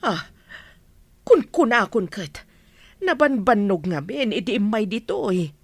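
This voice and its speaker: A woman's voice: mid-range voice, lively intonation, unhurried, husky, no room sound. A woman playing a worried, caring older mother in a radio drama, anxious and emotional in delivery. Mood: concerned.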